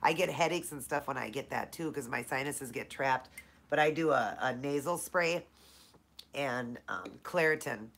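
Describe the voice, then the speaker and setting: A woman's voice: extremely low pitch, moderate pace, vocal fry, fairly bright tone. A woman from Minnesota hosting a casual live Q&A, chatting with viewers by name, her nose stuffed up from allergies. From Minnesota, USA. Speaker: live-stream host.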